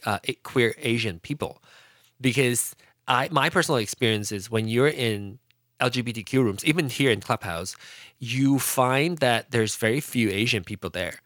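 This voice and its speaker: A man from Malaysia whose first language is Hokkien, talking casually as a podcast guest, with frequent restarts and pitch swings. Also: no echo, studio quality. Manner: halting, many restarts, lively pitch swings. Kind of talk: casual conversational interview.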